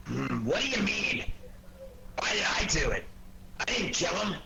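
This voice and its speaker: An actor playing a blustery, irritable police inspector with an American accent and a gruff, gravelly, bossy delivery in a 1940s radio drama. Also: male voice, on the high side, big pitch swings, measured pace, pushed and bright, heavily acted. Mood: defensive, irritable. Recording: noisy 1940s radio recording.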